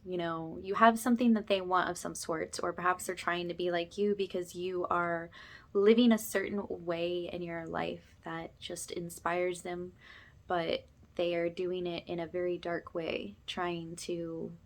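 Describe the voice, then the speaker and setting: A woman speaking softly and gently as she leads an informal spiritual ASMR ritual, often slipping toward a whisper. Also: lowish voice, little pitch movement, unhurried. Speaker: host, spiritual energy healer leading a session.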